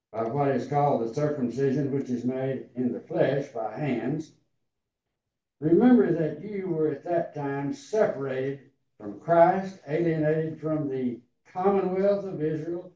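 A man in his eighties with a raspy, tender voice, preaching and slowly reading scripture to a congregation. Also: on the high side, warm tone. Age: 80s. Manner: slow, deliberate, halting reading. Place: large church hall before a seated congregation. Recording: roomy, distant, dull hall sound.

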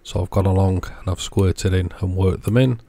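Male host for hands-on repair narration: deep, creaky voice, plain step-by-step explaining. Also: steady tone, normal speed, mellow. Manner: matter-of-fact, step-by-step explaining. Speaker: host of radio repair channel.

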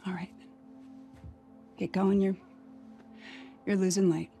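An actress playing a stern but caring middle-aged settlement leader in a naturalistic game cutscene, speaking plainly and firmly. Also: female voice, mid-range voice, level tone, slow pace, creaky, mellow, soft background music. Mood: resigned, firm. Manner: plainspoken, firm.

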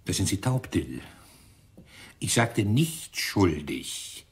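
A sardonic, angry police inspector in a radio crime play. The voice is husky and sweeps widely in pitch, with a gruff, sarcastic bite. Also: male voice, sing-song, takes it slowly, full character voice. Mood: irritated. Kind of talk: German radio play acting.

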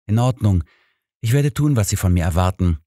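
A man's voice: on the low side, expressive, a little fast, dark tone, no echo, clean studio sound. A man voicing a detective's loyal, easily indignant companion, earnest and protesting, in an audiobook. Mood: meek. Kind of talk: audiobook character dialogue.

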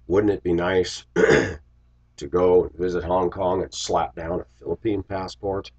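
A man's voice: low-pitched, little pitch movement, a little slow, a little mellow, slightly rough. An American man in his forties, congested from a cold, talking reflectively and casually to viewers in a rambling confessional vlog. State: congested, getting over a cold. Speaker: vlogger. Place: small indoor room with shelves. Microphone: webcam microphone at desk distance. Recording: dull lo-fi webcam audio.

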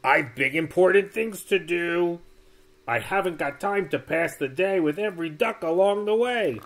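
Man voicing a loud self-important dump truck. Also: high voice, moderate pace, voice pushed up. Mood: blustering. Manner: loud, blustering.

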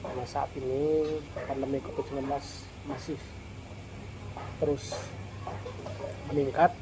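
A man's voice: mid-range voice, little pitch movement, measured pace. A middle-aged man filming a casual food review vlog at a cafe table, talking to viewers in a friendly, chatty way and calling them "brother". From Indonesia. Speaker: food vlogger. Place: open-air cafe, evening. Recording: noisy cafe phone recording.

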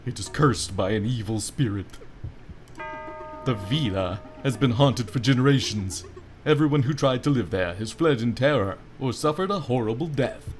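A man's voice: mid-range voice, expressive, moderate pace, faint music behind, natural voice. A man voicing a polite, earnest and gloomy private of the town guard in a game, deferential and ominous.

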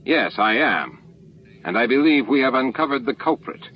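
A man's voice: mid-range voice, lively intonation, normal speed, voice pushed up, lightly acted. A stern, scowling alien security chief, played by a male reader makes formal accusations in a science fiction audiobook. The recording is lo-fi with faint noise. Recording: dull, noisy lo-fi book-on-tape recording.

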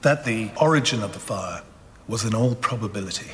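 A middle-aged actor playing a solemn tribunal official formally reading out findings in a television drama, measured in manner. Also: male voice, on the low side, a bit bright, a little hiss or hum, close to an everyday voice. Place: large courtroom-like hall, indoors. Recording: reverberant large room.

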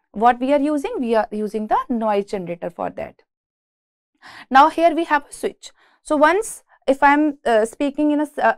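A woman's voice: fairly high pitch, dry recording, studio quality. A woman with an Indian accent giving an engineering lecture from slides, explaining step by step and often repeating words as she goes. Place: indoors, beside a presentation screen.

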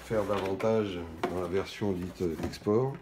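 A middle-aged man giving an unscripted hands-on product demonstration, speaking in halting, stop-and-go phrases with trailing pauses. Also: low voice, steady tone, background noise. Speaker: product presenter. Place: indoors at a wooden table in a small shop or office.

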